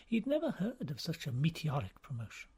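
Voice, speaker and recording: A man reading a novel aloud as scripted narration, in a measured, formal storytelling manner. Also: fairly high pitch, wildly swooping pitch, darkish tone, slightly rough. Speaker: audiobook reader.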